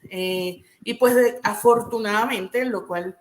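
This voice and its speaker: A woman from Venezuela, a clinical psychologist, explaining her field in a measured way and often checking in with a rising "¿sí?". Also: fairly high pitch, roomy sound, low-quality recording. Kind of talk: explanatory interview answers.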